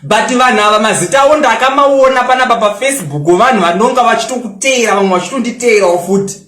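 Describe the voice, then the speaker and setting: A young Zimbabwean man with a very high voice, taunting and boasting as he fires back at a critic. He switches into English often. The sound rings around an echoey room. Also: fast talker, fairly bright tone. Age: 20s. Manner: mixes English into local-language talk. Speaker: social media personality. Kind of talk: angry response rant.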